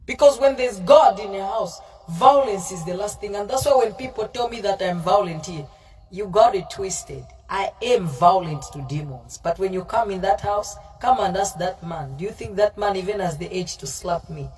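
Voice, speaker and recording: A woman's voice: medium pitch, animated, moderate pace, darkish tone, soft background music. Scolding woman preacher, distant mic. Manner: confrontational, scolding, repeats herself; mixes in Pidgin. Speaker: livestream preacher. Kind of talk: fiery informal sermon, answering live comments.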